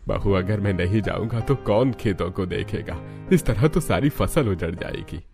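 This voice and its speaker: Guy doing a sick old indian farmer dad for a cartoon, low creaky voice, sorry and worried, loud music under it. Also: expressive, normal speed. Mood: worried, pleading. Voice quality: gravelly, coughing. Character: ailing old father-in-law farmer, apologetic and worried. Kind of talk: Hindi cartoon story voice acting.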